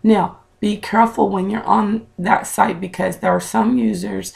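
A woman in her thirties with a raspy voice, chatting to viewers in a casual home vlog, recorded on a webcam indoors. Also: medium pitch, steady tone, measured pace, darkish tone. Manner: chatty, direct to viewers, with fillers. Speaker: vlogger. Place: small room at home, indoors.

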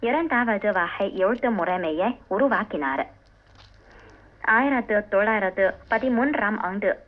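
Very rapid woman announcer on muffled radio. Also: medium pitch, animated. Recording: muffled shortwave radio speaker.

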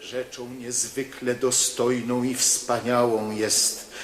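An actor playing an earnest, persuasive missionary companion in a radio drama. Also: male voice, mid-range voice, level tone, slow pace, a little hiss or hum, lightly acted. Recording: echoey radio drama studio. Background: music.

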